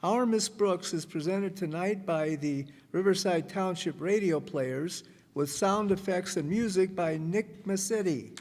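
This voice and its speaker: A man announcing in a measured, presentational way, reading formal credits from a stage. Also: sits high, a little mellow. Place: live stage in a hall. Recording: reverberant hall, audience noise and hiss.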